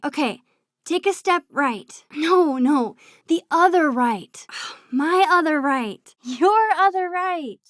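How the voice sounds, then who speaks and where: A shy, nerdy teenage girl from a video game, speaks softly with plenty of breath. She takes her time, sounding sweet and earnest with a gentle teasing streak. The sound is dry, with no room around it. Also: high voice, no room sound. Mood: excited, exasperated.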